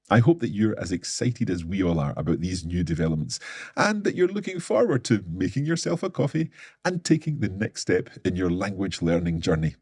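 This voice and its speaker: Brief: man, lowish husky voice, enthusiastic scripted presenter, dry close sound. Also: a little mellow, no echo. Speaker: company presenter.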